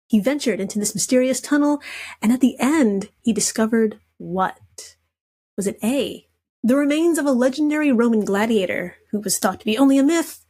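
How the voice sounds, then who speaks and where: A woman's voice: sits high, a little mellow. A woman quizmaster on a comedic podcast, reading trivia questions and telling stories in a playful, casual way.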